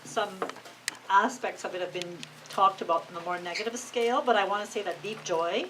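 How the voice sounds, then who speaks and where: A woman from Alberta, speaking up from the audience, in a halting, hesitant and personal way. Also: mid-range voice, fairly even intonation, fairly bright tone. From Alberta, Canada. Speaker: audience member. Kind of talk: spoken comment in a public discussion. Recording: distant room mic with faint noise.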